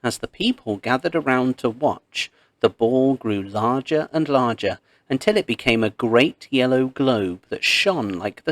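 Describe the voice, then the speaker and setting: Male narrator for children's storybook read-along: unhurried, expressive, mid-range voice, dry studio-like sound. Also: medium pitch, no echo. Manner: measured, expressive storytelling with pauses. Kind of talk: scripted read-aloud storytelling of a myth.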